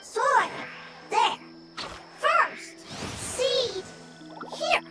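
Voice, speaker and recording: An actor voicing a small, worried game creature that speaks in halting, broken scraps of phrases. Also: very high-pitched, expressive, exaggerated voice. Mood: earnest, halting. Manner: halting, fragmented one- and two-word phrases. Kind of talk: video game character acting. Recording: distant voice under loud game music.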